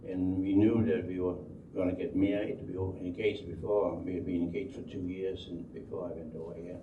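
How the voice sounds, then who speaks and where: Deep-voiced older Danish man, roomy recording. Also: little pitch movement. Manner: halting, rambling, with repeated words. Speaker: speaker telling life story. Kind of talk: unscripted oral history storytelling. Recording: dull, roomy, distant microphone, faint noise.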